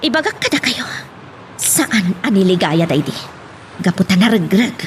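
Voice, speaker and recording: A woman's voice: medium pitch, very animated, moderate pace, lightly acted. An actress playing a humble, forgiving working mother who speaks respectfully in a serial drama. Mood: confessing, resigned. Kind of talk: radio-style serial drama acting. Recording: faint background noise.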